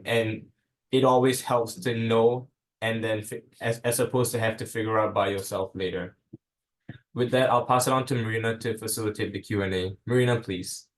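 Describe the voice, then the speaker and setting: Young guy, student leader, reads his bit, upbeat and encouraging. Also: mid-range voice. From Singapore. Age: young adult. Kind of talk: scripted presentation on a video call.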